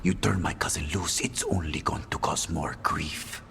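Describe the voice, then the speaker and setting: An actor playing a bitter, menacing assassin in a video game, talking in an insinuating, condescending way. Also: male voice, very deep voice, fairly even intonation, normal speed, creaky. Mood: bitter, warning. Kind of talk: video game character acting.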